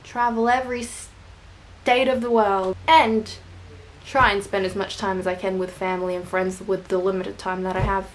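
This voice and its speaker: A woman's voice: mid-range voice, little pitch movement, moderate pace, a little hiss or hum, poor audio. A young woman with an Australian accent talks casually to her camera. Her chatter rambles from point to point, and she pokes fun at herself along the way. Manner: chatty and rambling, self-deprecating jokes. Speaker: vlogger. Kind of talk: casual tag video answering questions.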